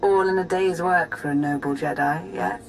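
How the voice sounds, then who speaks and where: Composed female intelligence operative actress, deep and creaky, formal and businesslike, for a video game. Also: steady tone, moderate pace, soft background music, natural voice, poor audio.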